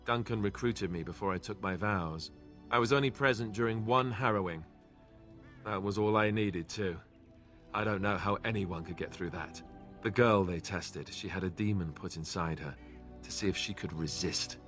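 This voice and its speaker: A man's voice: sits low, moderate pace, fairly bright tone, soft background music. A man voicing a young ex-templar warrior in a fantasy video game, speaking in a wry, self-deprecating, conversational way. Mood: sober.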